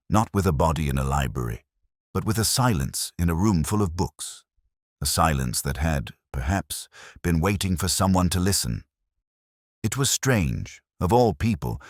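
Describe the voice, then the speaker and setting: Deep male narrator, dry close sound, measured and reflective, lively intonation, scripted mystery read. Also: no room sound.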